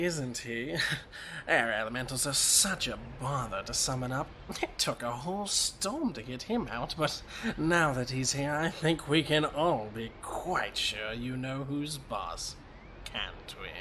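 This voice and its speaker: A man's voice: mid-range voice, very animated, a little slow, pushed and bright, a little hiss or hum. An actor playing a gleefully cruel madman sorcerer in an audio drama, theatrical, mock-polite and taunting. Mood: gleeful menace.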